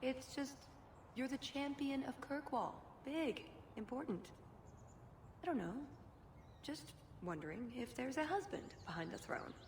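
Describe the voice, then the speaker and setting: A woman's voice: high-pitched, animated, measured pace, slight background noise. An actress voicing a curious, breezy woman rogue companion in a video game, talking casually and inquisitively.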